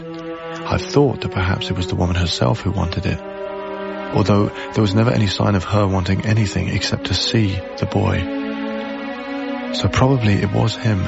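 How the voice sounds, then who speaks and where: A man acting in a radio drama as an earnest, haunted young lodger, hushed and deliberate in his delivery. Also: fairly low pitch, gravelly, muffled recording. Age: young adult.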